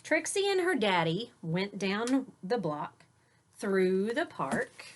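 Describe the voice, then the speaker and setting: Female teacher for a children's read-aloud, medium pitch, explaining simply as she goes. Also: sing-song, slow, a little hiss or hum. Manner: simple explanatory asides to young listeners.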